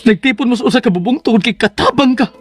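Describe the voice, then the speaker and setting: A man's voice: very high-pitched, fast talker. A man playing an insistent, jealous young man hurt by love in a radio drama, urgent and confronting. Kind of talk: radio drama acting in Cebuano. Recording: music under the voice.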